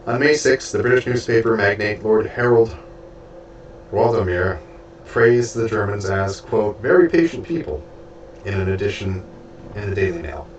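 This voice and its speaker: A man reading a book aloud with spoken asides, stuffy and losing his voice, halting and stumbling over names. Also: on the low side, lively intonation, muffled recording. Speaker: audiobook reader and streamer. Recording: roomy, distant from mic, faint background hiss.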